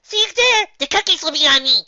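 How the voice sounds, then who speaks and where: Lady putting on a super bubbly pony girl, way high and loud, bouncy, really bright. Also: expressive, brisk, dry recording, highs cut off, heavily acted. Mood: excited. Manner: exclaiming, bouncy. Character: bubbly, exuberant young female cartoon pony.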